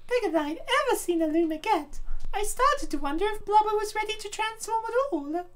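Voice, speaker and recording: A woman voicing a gentle motherly princess who tenderly narrates her story in a comic dub. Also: very high voice, animated, brisk. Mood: soft, fond.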